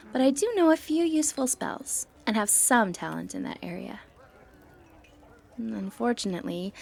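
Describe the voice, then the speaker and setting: A woman playing a sly, confident, flirty thief in a fantasy roleplay, playful and cheeky with breathy asides. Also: a little high, darkish tone, full character voice. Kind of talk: scripted fantasy ASMR roleplay, addressing the listener.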